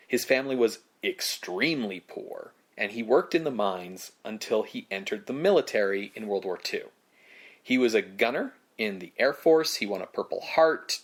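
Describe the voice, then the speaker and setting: A man presents film history as a podcast co-host. His pitch sits in the middle range for a male voice. He explains things in measured, short phrases, reading prepared notes and slipping in casual asides.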